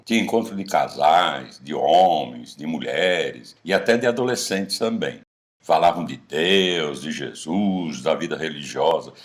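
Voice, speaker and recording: A blunt middle-aged ringleader played by a man in a radio play, goading his old friends. His voice is very low, with a lively swing in intonation. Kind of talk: radio drama acting.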